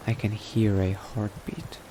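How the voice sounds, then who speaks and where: A man's voice: fairly low pitch, little pitch movement, slow pace. A man voicing a cautious, coldly pragmatic new vampire in a roleplay, muttering to himself and often dropping into a whisper. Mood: wary, furtive. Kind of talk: in-character roleplay narration, thinking aloud. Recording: noticeable background noise.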